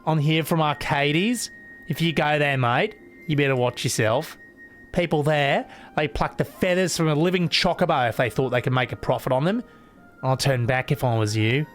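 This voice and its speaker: A man's voice: a little high, moderate pace, lightly acted. A man voicing a blunt, slangy townsman in a game, warning a stranger off. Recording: faint game music behind.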